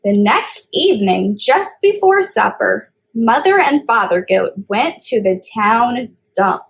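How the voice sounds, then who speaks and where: A woman's voice: on the high side, very animated, takes it slowly, creaky, low-quality recording. A woman hosting storytime as a children's librarian, reading aloud and explaining things in simple phrasing for young children.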